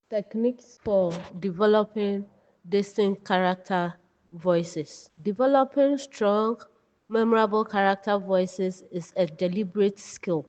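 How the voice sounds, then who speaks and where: A woman giving an instructive lesson, speaking deliberately in short phrases. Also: mid-range voice, mellow. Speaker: writing teacher. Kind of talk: lesson narration with read-out examples. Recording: long reverberant echo.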